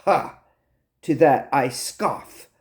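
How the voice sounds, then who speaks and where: A man's voice: mid-range voice, drawn-out words, a little mellow, voice pushed up. A man voicing a captive island mercenary in an audiobook story, pompous, mocking and grandiose. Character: sneering male island mercenary, theatrical villainous captive.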